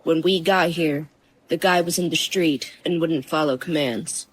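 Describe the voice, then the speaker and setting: A man's voice: a little high, a little slow, husky, low-quality recording. An actor playing a tense, officious male police officer in an audio drama. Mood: grudging, defensive.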